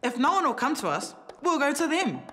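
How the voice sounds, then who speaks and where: Cheeky high-voiced New Zealand woman host. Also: very animated, a little fast, sharp tone, a little hiss or hum. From South Auckland, New Zealand. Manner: cheeky, playful innuendo. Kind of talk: comic presenter narration.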